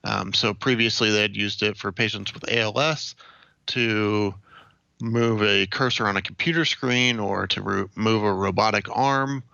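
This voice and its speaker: A young American man from Ohio giving measured, explanatory answers as an interview guest. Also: lowish voice, vocal fry, sharp tone, no room sound, lo-fi sound. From Ohio, United States. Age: young adult.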